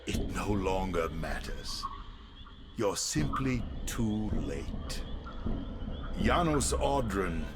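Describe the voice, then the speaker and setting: An actor playing an ancient, aristocratic vampire in a video game, world-weary and bitter, with a sardonic theatrical delivery. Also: male voice, lowish voice. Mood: fatalistic. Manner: slow, theatrical, sardonic, fatalistic.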